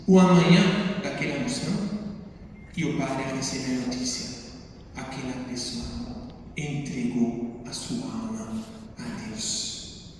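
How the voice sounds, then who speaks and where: Spanish-accented priest preaching in deep echo. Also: male voice, darkish tone. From Spain. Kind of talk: sermon. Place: large reverberant church interior. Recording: very echoey church, distant mic, faint noise.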